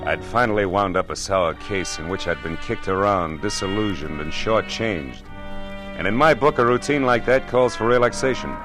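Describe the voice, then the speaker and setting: A man's voice: fairly low pitch, animated, moderate pace, natural voice. A man playing a dry, hard-boiled private eye. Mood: narrating. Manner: dry, laconic, wisecracking. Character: wry, hard-boiled male private detective narrating. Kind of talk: 1940s radio drama narration. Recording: old radio broadcast with music. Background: music.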